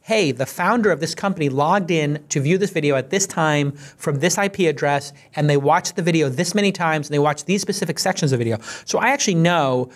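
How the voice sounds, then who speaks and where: An animated man in his forties with a slightly high-pitched voice hosts a studio podcast. He talks in punchy bursts with quick asides into a close boom mic. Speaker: podcast host. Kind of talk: casual roundtable chat and ad read. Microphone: studio mic on boom arm.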